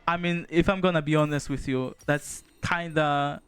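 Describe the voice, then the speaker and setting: A man's voice: fairly high pitch, fairly even intonation, moderate pace, fairly bright tone, smooth. A young man from Poland, a streamer giving casual, laid-back gameplay commentary, chatting and swearing now and then.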